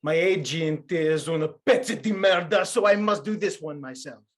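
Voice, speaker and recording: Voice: medium pitch, normal speed, natural voice. An actor playing a flamboyant, pleading Italian film director with an Italian accent and theatrical broken English in a comedy screenplay read. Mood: weary, complaining.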